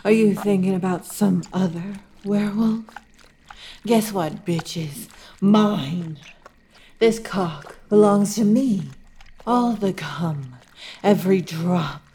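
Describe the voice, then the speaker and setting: A woman's voice: medium pitch, warm tone, breathy edge. A woman voicing a possessive, needy female werewolf lover in a whispered, intimate audio roleplay, murmuring and often whispering.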